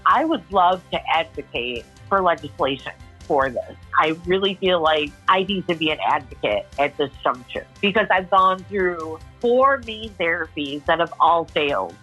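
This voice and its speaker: A woman's voice: fairly low pitch, sharp tone, husky. A woman speaking frankly about her own life, sharing her story as a patient in a reflective testimony. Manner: frank, tells it like it is. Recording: muffled phone-line audio.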